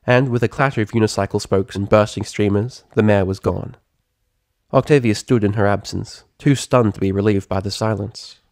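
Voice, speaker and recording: A man reading a story aloud as narrator, in an expressive, theatrical storytelling manner. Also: fairly low pitch, normal speed, dry recording, clean studio sound. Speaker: fan fiction reader, narrator.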